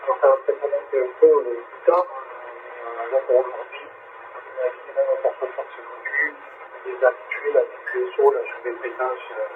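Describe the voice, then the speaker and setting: Narrow-band radio transmission, clear static noise, man, mid pitch, amateur operator, casual chat. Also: fairly even intonation, moderate pace. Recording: narrow, noisy CB radio reception. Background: loud radio noise.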